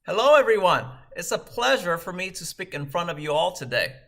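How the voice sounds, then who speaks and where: Man, 30s, from Vietnam, fairly high and bright voice, scripted measured delivery. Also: very animated. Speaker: startup co-founder and CEO. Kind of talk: prepared video message.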